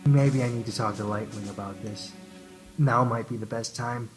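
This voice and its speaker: Radio play dragon, male actor: downcast, earnest, hesitant, mid-pitched, clear music underneath. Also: steady tone, normal speed, lightly acted. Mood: glum, reflective. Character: gloomy, timid, earnest young male dragon. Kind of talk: radio drama monologue and dialogue. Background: loud music bed.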